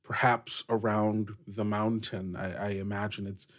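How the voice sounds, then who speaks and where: A man playing an earnest, weary fantasy sea captain in a halting, deliberate way. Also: on the low side, level tone, natural voice. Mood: uncertain.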